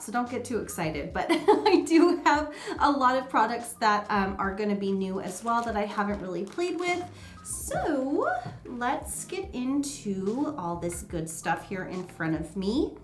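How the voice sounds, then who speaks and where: Woman beauty vlogger, nasal with a cold, loose chatty delivery, echoey room. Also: fairly high pitch. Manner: chatty and rambling, many ums and uhs. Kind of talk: casual makeup vlog chat.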